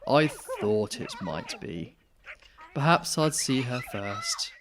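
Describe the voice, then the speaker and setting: A flustered male animal doctor from a picture book, as a man reads him aloud. He exclaims with big, lively swings of pitch. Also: slow pace, noisy background. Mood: surprised. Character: flustered male vet in a children's storybook.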